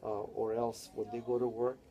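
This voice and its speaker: A man's voice: medium pitch, monotone, brisk, warm tone. An older man from Hawaii, telling his life story in an oral history interview, rambling and tagging his sentences with "yeah?". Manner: rambling, tags statements with "yeah?".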